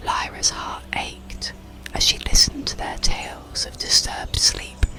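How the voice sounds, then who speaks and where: Slow whispering ASMR bedtime storyteller. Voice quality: breathy unvoiced whisper. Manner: slow, soothing, gentle delivery.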